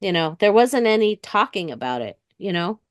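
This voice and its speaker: A woman's voice: medium pitch, very animated, normal speed, no room sound, studio quality. A woman in her fifties with an American accent, talking candidly and casually as a guest in an interview. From United States. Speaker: interview guest, retired police dispatcher.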